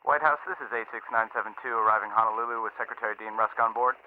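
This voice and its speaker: An actor playing a brisk aircraft radio operator, clipped and formal over a radio filter, in an audio drama. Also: male voice, on the low side, steady tone. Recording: narrow tinny radio link, faint noise.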